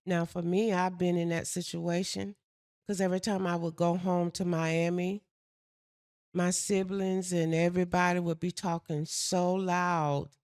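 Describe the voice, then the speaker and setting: An older woman from the States, chatting with her daughter in a soft, gentle, unhurried way. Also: on the low side, flat delivery, smooth, dry recording, studio quality. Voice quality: soft-spoken. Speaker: mother and co-host. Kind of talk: casual chat.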